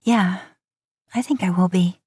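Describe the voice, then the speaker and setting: A woman voicing a kind, reassuring young woman in an audiobook story, soft-hearted and putting others first. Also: lowish voice, unhurried, slightly rough, dry recording, studio quality. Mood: hesitant.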